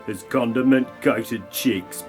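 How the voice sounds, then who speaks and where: A man's voice: medium pitch, slow pace, over music. A man giving scripted comic narration for an audio play, with a mock-dramatic storytelling manner.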